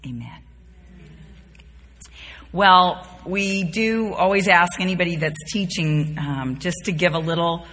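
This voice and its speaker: Woman, fifties, Bible study teacher, raspy but bright tone, informal devotional delivery, folksy, reverberant hall. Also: deep voice. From born and raised in the Bahamas, later North Carolina. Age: 50s. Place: large reverberant room, speaking to a group.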